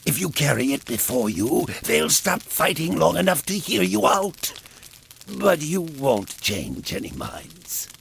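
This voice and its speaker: An actor playing a crafty old Norse wanderer in a game cutscene, theatrical and wheedling. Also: male voice, a little high, very animated, measured pace, creaky, fairly bright tone, a little hiss or hum, heavily acted. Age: older. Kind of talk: video game cutscene acting.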